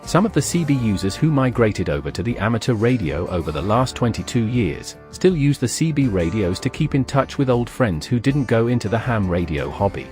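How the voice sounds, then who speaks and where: A man reading scripted documentary narration in a measured, explanatory way. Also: mid-range voice, very animated, darkish tone, faint music behind.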